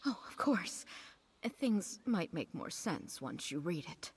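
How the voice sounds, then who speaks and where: A woman's voice: lowish voice, very animated, measured pace, slight background noise. An actress playing a wistful, easily flustered young lady in a video game, speaking in a melancholy, hesitant, dramatic way. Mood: flustered, sad. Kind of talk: voiced video game cutscene dialogue. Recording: faint game music underneath.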